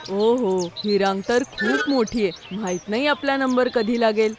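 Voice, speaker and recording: A woman's voice: fairly high pitch, a little slow, music in the background, close to an everyday voice. A woman playing a sensible, principled young schoolboy in a children's cartoon. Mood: impatient. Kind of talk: Marathi children's cartoon dialogue.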